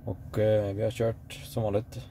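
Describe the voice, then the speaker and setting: Low-voiced Swedish man vlogging a road trip. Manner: relaxed, hesitant with many fillers. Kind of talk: casual travel vlog narration. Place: electric car on the road and at charging stops. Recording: clear car and road noise.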